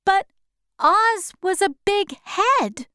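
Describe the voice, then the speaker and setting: A woman voicing a forthright, questioning young girl, read slowly in a storybook. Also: very high-pitched, animated, sharp tone, dry recording, clean studio sound, pitched above the performer's own voice, heavily acted.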